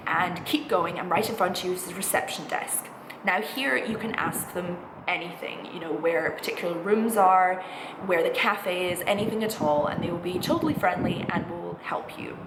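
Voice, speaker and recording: A woman in her twenties giving chatty, informal advice in a reassuring, encouraging way, like a student vlogger talking to camera. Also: fairly low pitch. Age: 20s. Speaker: vlogger, music college postgraduate singing student. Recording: echoey room with clear background noise.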